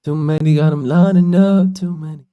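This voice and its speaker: A man singing soft R&B lines with heavy auto-tune. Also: fairly high pitch, fairly even intonation, normal speed, phone-quality sound. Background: his own backing beat.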